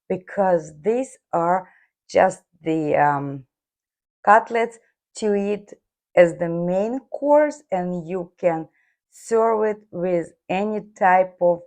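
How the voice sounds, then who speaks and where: A woman hosting a cooking video from her home kitchen, her voice hoarse, chatting viewers through each step. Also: on the low side, darkish tone. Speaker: cooking channel host. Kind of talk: casual cooking demonstration.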